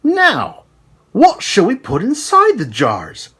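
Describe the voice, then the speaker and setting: A man's voice: high voice, huge pitch swings, takes it slowly, sharp tone, husky, voice pushed up, full character voice. A man voicing a friendly, cheerful storekeeper in a children's storybook. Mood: cheerful, welcoming.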